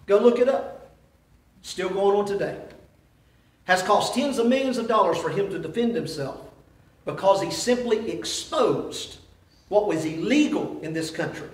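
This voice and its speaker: An older man preaching a sermon in a small church, animated, pushing ahead quickly and then dropping low and quiet for emphasis. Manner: animated, gesturing preacher who speeds up and drops quiet for emphasis. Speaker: pastor. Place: small church sanctuary, indoors. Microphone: far camera, no visible mic. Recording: roomy echo, distant mic.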